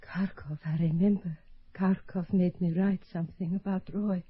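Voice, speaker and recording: A frail young woman speaks softly and breathily, her voice husky and weak. Her delivery carries the earnest tone of a radio drama heard on a dull, lo-fi recording. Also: fairly low pitch, fairly even intonation, normal speed. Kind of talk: 1970s radio drama acting.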